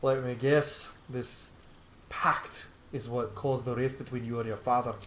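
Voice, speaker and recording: A man's voice: medium pitch, steady tone, unhurried, a bit bright, a little hiss or hum. A man giving casual gameplay commentary as a streamer, informal, with swearing and joking asides. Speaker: game streamer. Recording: roomy echo on voice. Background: faint game music.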